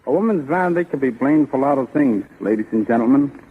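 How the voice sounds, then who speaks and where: A man's voice: mid-range voice, animated, quick pace, a little hiss or hum. A man giving a formal, scripted guest talk on 1930s radio, reading out prepared advice. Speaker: guest stocking designer. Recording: muffled, narrow-band 1930s radio broadcast.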